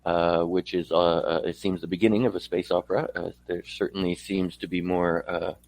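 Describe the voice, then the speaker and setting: A man from Poland interviewing a guest on a book podcast in a conversational, somewhat halting way. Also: low voice, little pitch movement, a little mellow.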